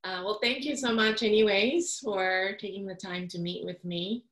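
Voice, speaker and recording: A woman's voice: medium pitch, steady tone, normal speed, fairly bright tone. A woman interviewing a guest in a casual, friendly way, using many fillers like um and you know. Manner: friendly, with frequent ums and you-knows. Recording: roomy echo.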